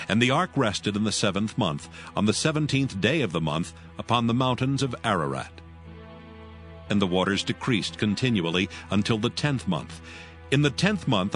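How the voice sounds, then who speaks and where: A man narrating scripture from a script in a measured, solemn way. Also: lowish voice. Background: music.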